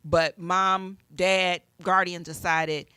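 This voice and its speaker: A woman's voice: lowish voice, flat delivery, slow, sharp tone, smooth, dry recording, clean studio sound. A woman speaking as a panelist in a casual radio discussion. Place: radio studio.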